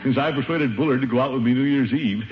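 An actor playing a pompous, flustered middle-aged bachelor with a blustery, excuse-making way in a 1950s radio comedy. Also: male voice, medium pitch, fast talker, close to an everyday voice. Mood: weary. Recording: dull, noisy old radio recording.

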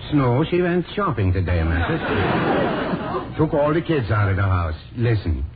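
A man's voice: lowish voice, big pitch swings, normal speed, over a noisy crowd. An actor playing a good-natured, literal-minded Swedish immigrant family man in an old radio comedy, with a Swedish accent and rambling broken English. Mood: amiable, rambling. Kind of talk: 1940s radio comedy acting. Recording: muffled old radio broadcast, faint hiss, audience.

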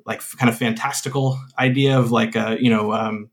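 A man's voice: mid-range voice, steady tone, fairly quick. A man who designs games, a guest on a podcast, explaining his game in a rambling way with many fillers and restarts. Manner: rambling, full of uhs and restarts. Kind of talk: casual interview chat.

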